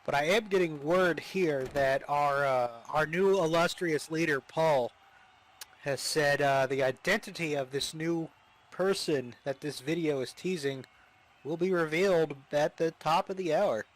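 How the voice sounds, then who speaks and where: A man doing relaxed wrestling commentary, pausing and restarting often. His voice sits somewhat high for a man. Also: poor audio. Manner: hesitant, many fillers and restarts.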